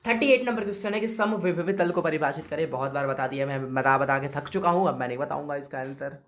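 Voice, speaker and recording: A man's voice: on the high side, fast, fairly bright tone, a little hiss or hum. A man with a sore throat, teaching physics exam answers in a chatty way, often checking in with students and calling them brother. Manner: chatty, repeats "okay" and calls students "brother". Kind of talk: exam-prep lesson.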